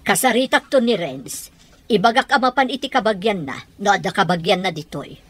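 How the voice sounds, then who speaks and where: A woman's voice: mid-range voice, a little fast, fairly bright tone, no room sound, natural voice. A woman playing a practical mother and landlady in a radio drama, with an Ilocano accent. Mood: businesslike.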